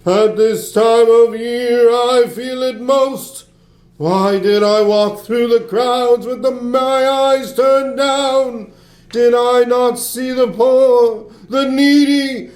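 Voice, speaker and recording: An actor playing a mournful, foreboding dead businessman's ghost in a radio play, with drawn-out, wailing delivery. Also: very high voice, steady tone, a little mellow. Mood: anguished, remorseful. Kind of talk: stage-style radio play acting. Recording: echoing voice over faint music.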